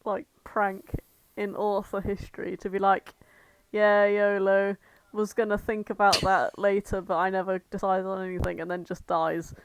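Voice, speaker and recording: A woman's voice: mid-range voice, little pitch movement, moderate pace, clean tone, poor audio. A woman co-hosting a podcast in casual, conversational chat. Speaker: podcast co-host.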